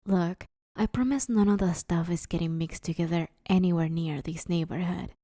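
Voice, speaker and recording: A woman's voice: on the low side, mellow, no room sound, clean studio sound, close to an everyday voice. A woman playing a broke, sheepish but scheming villain in a conversational comedy audio roleplay, wheedling her neighbor in an awkward, hesitant way.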